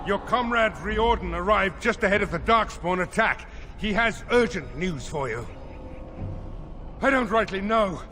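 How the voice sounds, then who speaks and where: Anxious male messenger, bright tone, high pitch, dutiful, fantasy game. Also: expressive, measured pace, soft background music, heavily acted. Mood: relieved, urgent. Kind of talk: video game cutscene acting.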